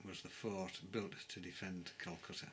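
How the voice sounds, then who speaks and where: An older man on a sofa in his living room gives an unscripted history talk to a close webcam. He speaks calmly and pauses to find his words. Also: deep voice. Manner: calm, measured, pauses to search for words. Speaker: travel vlogger. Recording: clear background noise.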